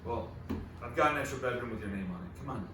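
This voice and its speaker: A man's voice: medium pitch, quick pace, natural voice. A man playing a prickly, wisecracking middle-aged best friend in a comedic live table read. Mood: conciliatory. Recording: roomy live stage, distant mic, noisy.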